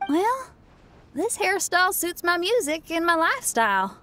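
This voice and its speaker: A woman's voice: extremely high pitch, very animated, normal speed, bright, forceful tone, exaggerated voice. An actress playing a spirited young woman musician in a video game, colloquial, self-assured and encouraging. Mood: self-assured, upbeat.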